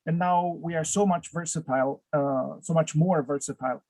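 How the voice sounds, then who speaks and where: A man with a Romanian accent speaks English as a second language, pausing often on "uh" and sometimes bending a phrase. His voice sits a bit high for a man. The sound is dry and close, like a video call. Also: darkish tone, dry recording, poor audio. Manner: frequent "uh" fillers, slight non-native phrasing. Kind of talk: conversational interview over video call.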